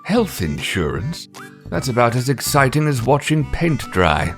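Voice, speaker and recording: A man's voice: mid-range voice, big pitch swings, unhurried, faint music behind. A man voicing a sardonic, fussy pet dog in a cartoon, with a dry, wisecracking manner. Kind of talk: animated comedy dialogue.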